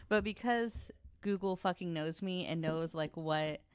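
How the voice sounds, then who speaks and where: Female podcast guest, slightly low and clear, close dry sound, candid and unfiltered. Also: measured pace, dry recording. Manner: blunt, sweary. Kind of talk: casual podcast chat.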